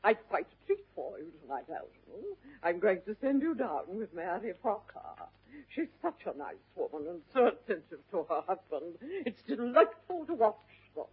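An actress playing an imperious older upper-class English aunt in a classic stage comedy, with a haughty, deliberate, declamatory delivery. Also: female voice, medium pitch, big pitch swings, slightly rough. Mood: gracious, lofty. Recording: muffled vintage narrow-band radio recording.

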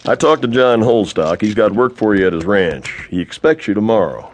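An actor playing a fair-minded, middle-aged town marshal in an audio western, with an American accent and a measured, dryly folksy way of talking. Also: male voice, lowish voice, animated, slight background noise, lightly acted. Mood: easygoing. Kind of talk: audio western drama acting.

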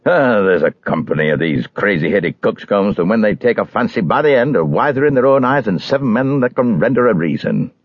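A man's voice: lowish voice, animated, a little fast, few pauses, no echo, highs cut off. A man voicing a stubborn, scornful neighbour in a storybook, blustering and dismissive. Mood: exasperated.

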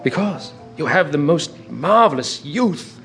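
An actor playing a witty, languid aristocratic gentleman in a radio drama, speaking in theatrical epigrams. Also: fairly high pitch, expressive, a little slow, creaky, soft background music, heavily acted. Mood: urging.

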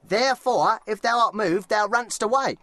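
An actor playing a wry, joking male servant who teases with quick puns in a dramatised Shakespeare audiobook. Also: high voice, pushed and bright, dry recording. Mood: teasing. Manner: teasing, quick-witted punning.

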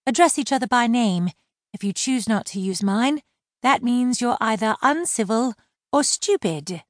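A woman's voice: fairly high pitch, expressive, measured pace, no room sound, studio quality, pitched above the performer's own voice. A woman voicing a proud, prickly adult mermaid who insists on being treated with respect. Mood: indignant. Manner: indignant, crisp.

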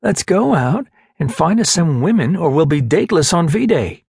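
A man voicing brash, rowdy young Navy SEALs with loud, emphatic banter in an audiobook story. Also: a little high, sing-song, normal speed, creaky, breathy edge, no echo, studio quality, pitched above the performer's own voice, heavily acted. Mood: rowdy, eager.

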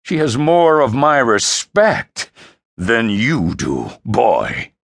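Man performing contemptuous emperor, raspy, commanding, wildly swinging pitch, fantasy audiobook. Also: huge pitch swings, very slow, dry recording, clean studio sound, exaggerated voice. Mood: scornful anger. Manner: scornful, commanding, snorting disdain. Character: towering thunderous emperor, a contemptuous domineering father. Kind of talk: dramatic fantasy audiobook character voice.

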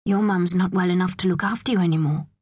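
A woman voicing a gentle, cheerful man in a children's audiobook, reassuring and patient. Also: fairly low pitch, fairly even intonation, quick pace, breathy edge, dry recording. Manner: soothing, patient. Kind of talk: acted children's audiobook dialogue.

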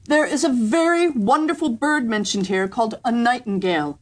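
A woman's voice: fairly high pitch, moderate pace, pitched above the performer's own voice, natural voice. A woman voicing a proud, commanding emperor in a storybook reading, declaiming imperiously.

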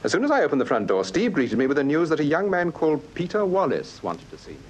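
An actor playing a calm, probing gentleman detective in a British radio mystery, with Received Pronunciation and measured, urbane questioning. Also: on the high side. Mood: matter-of-fact. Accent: English Received Pronunciation. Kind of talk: British radio drama acting.

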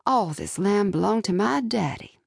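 A woman voicing an elderly Southern lady who reminisces fondly in a gentle, old-timey way for an audiobook. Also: medium pitch, animated, normal speed, creaky, no room sound, clean studio sound. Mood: fond, nostalgic.

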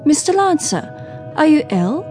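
A woman's voice: high voice, big pitch swings, measured pace, music in the background. An actress playing a proper, solicitous lady passenger in a radio drama, polite and well-mannered. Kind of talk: audio drama acting.

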